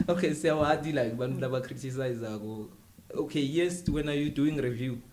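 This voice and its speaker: A man's voice: medium pitch, a little fast, a little mellow, slight background noise. South African man hosting a podcast. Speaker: podcast interview host. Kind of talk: casual interview, code-switching.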